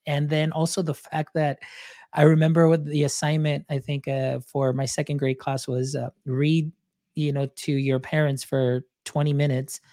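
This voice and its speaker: A man's voice: on the high side, flat delivery, moderate pace, warm tone, no echo, clean studio sound. A man hosting a podcast, a native Spanish speaker, talking in a reflective, storytelling way with many fillers. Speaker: podcast host. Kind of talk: casual interview chat.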